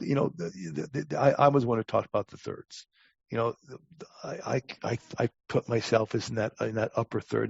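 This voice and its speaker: Older man with heavy gravelly creak. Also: mid-range voice, a little mellow, dry recording. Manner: emphatic, halting with repeated words, blunt. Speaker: podcast guest, talent acquisition advisor. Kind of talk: conversational interview answers. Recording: dull, muffled lo-fi audio.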